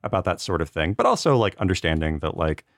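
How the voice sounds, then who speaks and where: Deep-voiced man in casual, rambling discussion. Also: sing-song, fast, no room sound, clean studio sound. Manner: hedging, rambling, peppered with "like". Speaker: podcast panelist.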